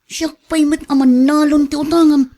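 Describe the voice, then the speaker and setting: A woman's voice: high-pitched, fairly even intonation, normal speed, dark tone, close to an everyday voice. A woman playing an outspoken, sceptical, teasing female relative in an Ilocano radio drama, with an Ilocano accent. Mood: teasing, mocking. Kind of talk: Filipino radio drama acting.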